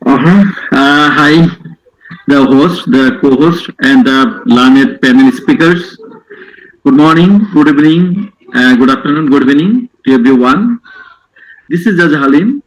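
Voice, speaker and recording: A man's voice: a little high, expressive, measured pace, slight background noise, low-quality recording. A man from Bangladesh, a judge, opening a formal conference talk over a video call with stumbling greetings.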